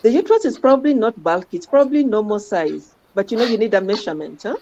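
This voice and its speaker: A woman's voice: mid-range voice, lively intonation, fast talker, background noise. A woman giving informal teaching commentary on scan images as a radiology teacher, often adding questioning tags like "huh?" to her statements. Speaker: radiology teacher guiding a trainee. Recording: dull lo-fi audio.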